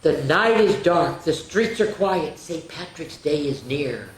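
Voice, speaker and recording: A woman's voice: low-pitched, expressive, a little slow, echoey room. A woman voicing a cheeky, boastful little male leprechaun in sing-song rhyme, reading a children's picture book aloud. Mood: mischievous boasting. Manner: sing-song rhyming taunts.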